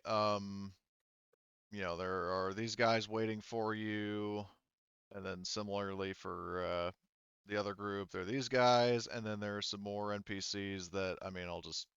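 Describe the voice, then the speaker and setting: Male game master, smooth low voice, casual and halting delivery, close dry lo-fi sound. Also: a bit bright, no room sound. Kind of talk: running a tabletop roleplaying game, casual chat.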